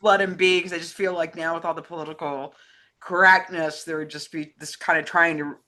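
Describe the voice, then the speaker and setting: A woman's voice: deep voice, fairly even intonation, brisk, pushed and bright. A woman co-hosting a casual conversation and reading out questions from fans. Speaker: co-host reading fan questions.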